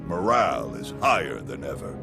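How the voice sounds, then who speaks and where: A man playing a composed, confident military task force leader in a game cutscene, briefing in a formal, measured way. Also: very deep voice, sing-song, gravelly, music in the background, heavily acted. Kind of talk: video game cutscene acting.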